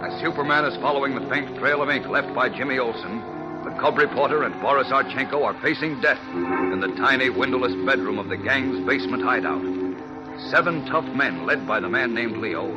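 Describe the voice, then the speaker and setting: A man's voice: mid-range voice, expressive, fairly quick, dull audio. A man narrating a 1940s radio serial as its announcer, in a dramatic, suspenseful manner. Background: music.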